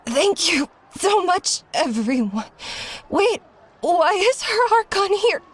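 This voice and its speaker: A woman playing a polite, grateful young surfer in a video game, with an expressive delivery. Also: extremely high pitch, slow pace, heavily acted. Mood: relieved, startled.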